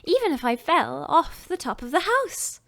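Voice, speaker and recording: A woman voicing Alice, a curious little girl chattering to herself in a high, wondering voice. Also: big pitch swings, moderate pace, gravelly, fairly bright tone, no echo, clean studio sound, full character voice. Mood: proud.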